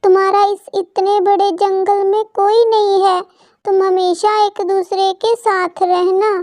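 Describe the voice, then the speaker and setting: A woman voicing a gentle, dying mother bird in a children's cartoon, speaking in an earnest, pleading and solemn way. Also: extremely high pitch, level tone, unhurried, no echo, studio quality. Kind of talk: Hindi children's cartoon dialogue.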